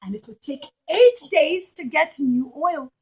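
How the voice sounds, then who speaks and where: High voiced lady doing storytime for little kids, emphatic, repeats herself a lot. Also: expressive, unhurried. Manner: emphatic, repeats phrases for children. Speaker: children's storyteller and puppet show presenter. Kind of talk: animated storytelling to young children.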